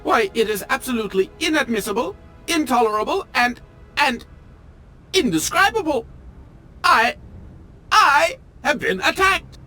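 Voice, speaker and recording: High-pitched, fussy, pompous automaton. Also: male voice, big pitch swings, measured pace, pauses often, pushed and bright, faint music behind, exaggerated voice. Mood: outraged, distressed. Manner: pompous, theatrical, formal and fussy diction. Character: fussy, pompous male automaton train engineer, melodramatic and wounded. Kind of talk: video game character acting.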